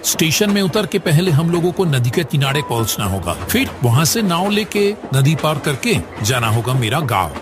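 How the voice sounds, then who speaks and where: A man's voice: a little high, sing-song, moderate pace, hardly any pauses, music in the background. A man voicing a kindly, generous older village headmaster in a cartoon story, chatty and avuncular. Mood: practical. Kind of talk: Hindi cartoon story acting.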